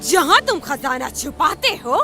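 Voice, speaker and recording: Cartoon sorceress, old: imperious, scheming, very high and animated. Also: female voice, a little slow, over music. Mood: sly. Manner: sly, imperious. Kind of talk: animated cartoon dub acting.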